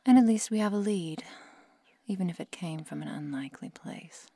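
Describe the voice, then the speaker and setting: A woman playing a protective, coolly menacing vampire seductress in a hushed, deliberate, coaxing ASMR roleplay whisper. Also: fairly low pitch, breathy edge, no room sound. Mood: concerned.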